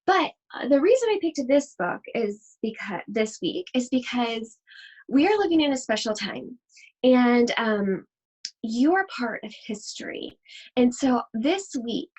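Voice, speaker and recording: A woman teacher reading a picture book aloud to children, expressive and speaking directly to her young listeners. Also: a little high, unhurried, husky, rough recording. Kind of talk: children's read-aloud with chatty asides.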